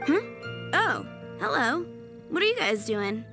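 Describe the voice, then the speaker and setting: An earnest young woman dreaming of knighthood in a video game. Her voice is on the high side and very animated, eager yet modest, with soft music underneath. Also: a little slow, exaggerated voice. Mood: excited. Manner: enthusiastic, modest, bubbly. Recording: faint background music.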